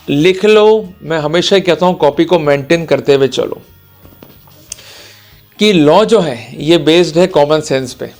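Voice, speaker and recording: A man with an Indian accent giving an explanatory lecture as an advocate, didactic and emphatic, repeating his key points. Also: a little high.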